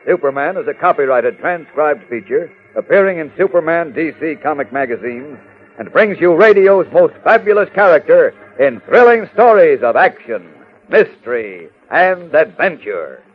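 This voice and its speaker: Male serial narrator, declamatory, fairly high voice, dull vintage radio recording. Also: sing-song, normal speed, slightly rough. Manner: dramatic, declamatory. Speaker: announcer and narrator. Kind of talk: 1940s radio scripted narration. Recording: muffled old radio recording with music.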